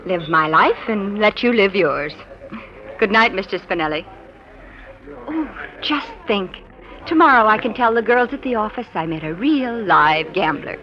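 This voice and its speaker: A woman's voice: mid-range voice, expressive, measured pace, lightly acted. An American actress playing a poised, wry young office woman in 1940s radio drama, cool and guarded in delivery. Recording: dull, lo-fi old radio recording.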